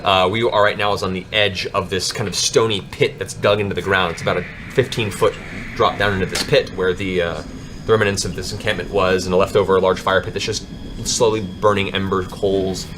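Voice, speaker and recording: A man with a fairly low voice serves as game master for a tabletop fantasy game. He describes scenes in a dramatic storyteller's manner. There is clear background noise around his voice. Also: fairly even intonation, a little fast.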